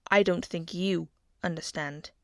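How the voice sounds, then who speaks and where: A woman's voice: on the low side, steady tone, unhurried, a little mellow, no echo, studio quality. A woman reading the part of a stern, cruel middle-aged king who threatens and hisses his words. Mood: cold. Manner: cold, threatening, hissing.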